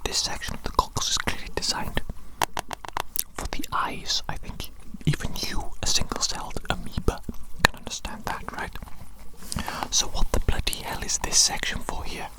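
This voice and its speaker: A performer voicing a pompous, vain evil scientist in a breathy, unvoiced ASMR whisper, with theatrical, repetitive phrasing. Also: moderate pace, natural voice, poor audio. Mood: exasperated.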